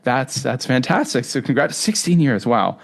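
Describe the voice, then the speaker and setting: A man's voice: medium pitch, very animated, normal speed, vocal fry. A man from Canada with a Canadian accent, hosting a podcast in a conversational interview style. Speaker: podcast host.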